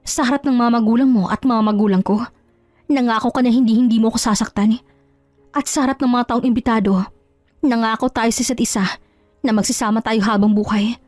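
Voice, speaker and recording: Over background music comes a high, closely recorded voice: a betrayed wife played by a woman in an audio drama. She is hurt and proud, scolding with scornful questions and resolute in her indignation. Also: steady tone, a little fast, darkish tone, dry recording, close to an everyday voice. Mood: hurt, reproachful. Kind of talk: Tagalog audio drama acting.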